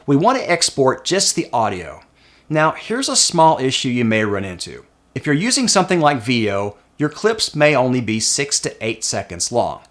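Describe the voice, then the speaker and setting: A man narrating a scripted software tutorial as its host. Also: medium pitch.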